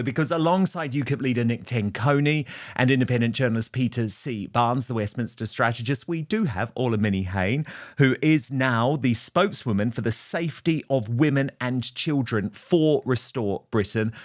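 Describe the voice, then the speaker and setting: Male host for news commentary and panel discussion, medium pitch.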